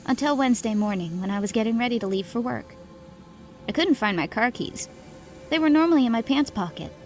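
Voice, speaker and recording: A woman's voice: fairly high pitch, lively intonation, a little fast, soft background music. A woman narrating a scary story in the first person, reading from a script.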